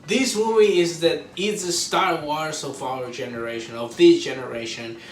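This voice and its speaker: A man giving a casual, excited fan review to camera, rambling informally and repeating himself. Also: a little high, moderate pace. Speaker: video creator reviewing a film. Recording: roomy echo, distant mic, faint noise.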